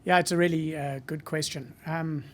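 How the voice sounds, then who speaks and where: Man in his sixties, Zimbabwean accent, somewhat high voice, reflective, hesitant, podcast guest. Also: fairly even intonation, fairly bright tone. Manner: reflective, measured, frequent hesitations. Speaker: marketing professor, guest. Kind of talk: podcast interview.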